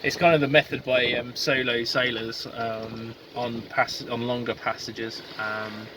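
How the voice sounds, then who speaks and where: Halting man on a windy sailboat. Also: medium pitch, level tone. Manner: halting, lots of ums and restarts. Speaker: solo sailor vlogger. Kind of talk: casual video diary. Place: outdoors on a small sailboat at sea. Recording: wind and boat noise. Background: wind, water and boat sounds.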